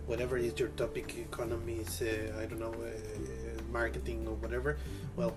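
A man's voice: medium pitch, completely flat delivery, moderate pace, loud music behind the voice. A man, a language professor, answering interview questions thoughtfully, with many fillers and tag questions.

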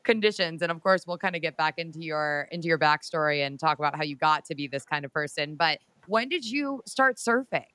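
A woman's voice: low voice, animated, brisk, hardly any pauses, pushed and bright, dry recording. A woman hosting an interview, asking questions with enthusiastic reactions. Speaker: interviewer host.

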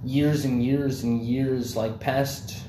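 A man's voice: mid-range voice, fairly even intonation, takes it slowly, warm tone. A young man in casual chat, rambling loosely with lots of fillers and swearing. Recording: echoey room, faint background noise.

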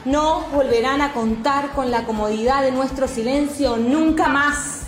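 Argentine woman, higher voice, declaiming an activist manifesto over soft music in an echoey space. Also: unhurried. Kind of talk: scripted declaration. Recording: roomy echo, music behind.

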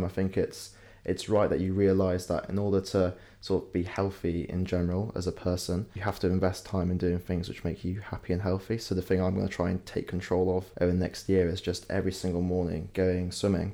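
A young man answers interview questions in a very low, flat, mellow voice. He sounds reflective, often hedging as he talks things through. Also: gravelly. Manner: reflective, hedging with "you know" and "sort of". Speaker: guest, entrepreneur.

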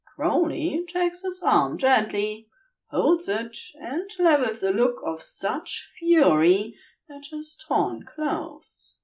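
A woman reading fiction aloud as a scripted, expressive story narration. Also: fairly high pitch, takes it slowly, poor audio. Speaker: audio fiction reader.

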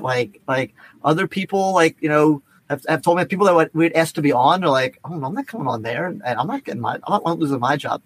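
A man's voice: on the high side, poor audio. A man co-hosting a podcast in casual chat, rambling and repeating himself as he acts out what people said. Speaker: podcast co-host.

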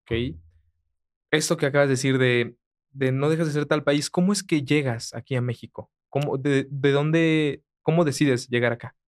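A woman's voice: extremely low pitch, normal speed. A woman asking questions in a casual, conversational way as an interviewer.

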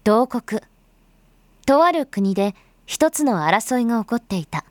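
A woman's voice: fairly high pitch, sing-song, unhurried, a little hiss or hum. A woman performing as a grave storyteller, giving scripted dramatic narration in solemn, literary phrasing. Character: grave storyteller recounting a bloody uprising.

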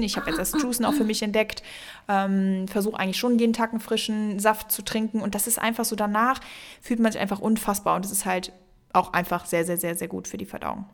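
A young woman co-hosting a casual podcast, rambling through her thoughts with many fillers and the odd English word mixed in. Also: mid-range voice. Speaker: podcast co-host.

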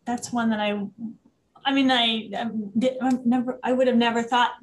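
A woman hosting an interview, asking questions in a halting way with restarts and friendly acknowledgments. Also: on the high side, steady tone. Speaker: interviewer host. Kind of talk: casual interview.

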